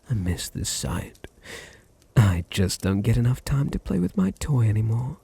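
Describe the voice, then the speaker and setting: A British man acting a seductive, domineering vampire master in an ASMR roleplay, breathy and often near a whisper, with purring, condescending endearments. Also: medium pitch, big pitch swings, measured pace, warm tone. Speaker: audio roleplay voice actor.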